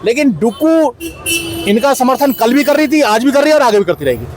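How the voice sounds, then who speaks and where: Fast, insistent union leader, high voice. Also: male voice, sing-song. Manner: insistent, repeats points. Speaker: union president. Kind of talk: on-the-spot protest interview. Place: outdoor protest site by a street.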